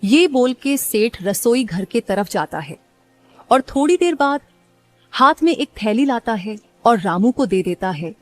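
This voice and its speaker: A woman's voice: a little high, big pitch swings, measured pace, no room sound, soft background music. A woman reading scripted narration for a story as its narrator.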